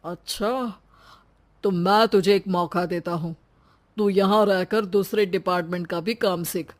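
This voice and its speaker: A woman voicing a curious, benevolent male bank manager in a story, measured and authoritative. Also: fairly low pitch, fairly even intonation, close to an everyday voice.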